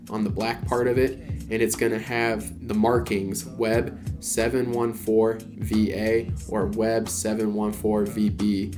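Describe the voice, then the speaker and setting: Low, flat-voiced laid-back man. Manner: laid-back, conversational, frequent "you know" and "kinda". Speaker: collector vlogger. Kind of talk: casual explainer vlog. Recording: clear background music under voice.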